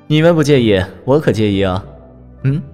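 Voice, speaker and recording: A man playing a gentle, admired university teacher with a soft, playful, teasing way of talking in an audio drama. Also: mid-range voice, lively intonation, a little slow, lightly acted. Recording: voice over background music.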